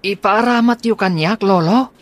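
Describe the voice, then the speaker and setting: A man's voice: high-pitched, normal speed, close to an everyday voice. A young man playing an eager, respectful teenage grandson in a radio drama.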